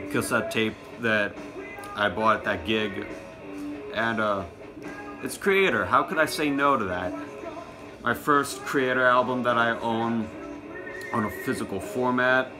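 A young man in his teens or early twenties doing a casual show-and-tell to camera, recorded at arm's length in a small room at home. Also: medium pitch. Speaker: music collection vlogger.

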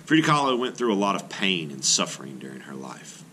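A middle-aged man with a fairly low, bright voice reads aloud like an art teacher to young pupils. His intonation rises and falls in a very animated way as he works through each sentence in a measured, expressive manner. A light background noise sits under the recording. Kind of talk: read-aloud narration of a children's nonfiction book.

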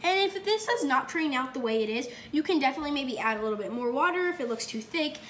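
Chatty high-voiced young woman in echoey kitchen. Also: animated, fast talker, fairly bright tone, slight background noise. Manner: chatty, upbeat, many fillers and self-corrections. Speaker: cooking video host. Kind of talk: casual cooking demonstration. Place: home kitchen, indoors. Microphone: phone camera across the counter.

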